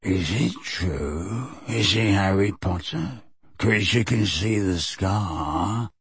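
A man voicing an old male house elf who mutters resentfully in a slow, hoarse, deep croak. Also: no room sound, heavily acted. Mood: wondering mutter. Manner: slow grumbling mutter under the breath.

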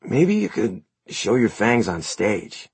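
A man voicing a laid-back, goofy teenage band guy in an audiobook, talking in halting slang. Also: lowish voice, sing-song, creaky, slightly rough, no echo, pitched above the performer's own voice, heavily acted. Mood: eager, casual. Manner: slangy, halting, full of "like" and "dude".

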